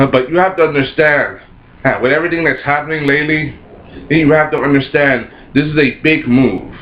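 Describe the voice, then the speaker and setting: A man with a raspy voice and a sore throat, rambling through a casual episode review, repeating himself and restarting sentences. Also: medium pitch. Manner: rambling, repeats himself, many false starts. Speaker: anime reviewer. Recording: roomy, dull lo-fi home recording.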